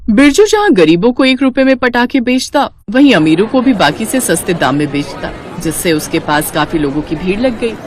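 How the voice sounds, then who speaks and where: A woman tells a scripted moral story. Her delivery is expressive, moving up and down in pitch, and there is faint noise behind her voice. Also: normal speed, few pauses.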